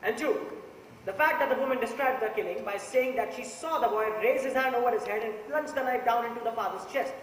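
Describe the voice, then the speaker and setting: A man playing a methodical juror in an amateur stage play, laying out his argument deliberately. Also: extremely high pitch, a bit bright. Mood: firm, methodical. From India. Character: methodical male juror arguing firmly for guilt. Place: theatre stage, recorded from the audience. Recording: noisy echoey hall, far from mic.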